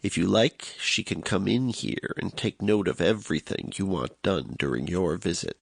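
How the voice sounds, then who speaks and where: An actor playing an aging, scrawny, deferential man in an audiobook, with a raspy, creaking voice and measured, courteous speech. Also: low voice, lively intonation, exaggerated voice. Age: older. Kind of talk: audiobook character acting.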